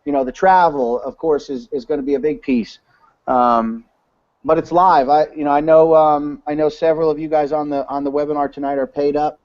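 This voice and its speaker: A man talks through an online webinar in a casual, rambling way, stopping and restarting his sentences often. His voice is fairly high for a man and has a mellow, warm tone. The sound is dull and lo-fi, like a web call. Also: steady tone, brisk. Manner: rambling, many fillers and restarts. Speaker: webinar presenter.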